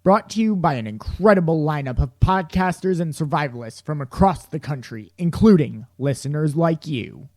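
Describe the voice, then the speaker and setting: A male narrator reads scripted story passages for an audio drama. His voice sits a little higher than most men's. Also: animated, normal speed, hardly any pauses, a little mellow, no echo, studio quality.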